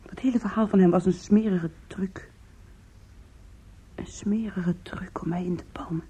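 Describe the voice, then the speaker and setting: An actress in a Dutch radio play playing a weary, anguished young woman with a shamed past, confessional, halting and pleading. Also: lowish voice, fairly even intonation, dark tone, husky. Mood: wistful confessing. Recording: muffled, lo-fi old radio recording.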